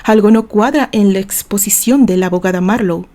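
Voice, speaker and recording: A woman's voice: mid-range voice, fairly quick, warm tone, close to an everyday voice. A woman voicing an assured male defense attorney in an audio novel, arguing formally and emphatically like a courtroom orator. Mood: insistent.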